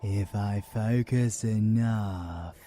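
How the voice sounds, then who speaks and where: A British man playing a predatory, mocking vampiric abomination in a villain audio roleplay, savoring his phrases theatrically and slipping into breathy whispers. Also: on the low side, drawn-out words, warm tone, slight background noise. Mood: cold menace.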